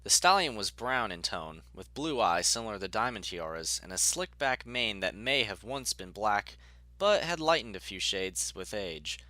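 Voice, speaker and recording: A man's voice: on the low side, very animated, normal speed, pushed and bright, no echo. A man narrating a scripted story as its narrator.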